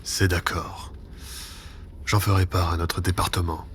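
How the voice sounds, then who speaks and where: Man acting a stern, low-voiced intelligence chief. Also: little pitch movement, a little slow, a bit bright, a little hiss or hum. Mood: businesslike. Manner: formal, curt, reproachful. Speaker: actor in an audio drama. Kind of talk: French spy audio drama acting.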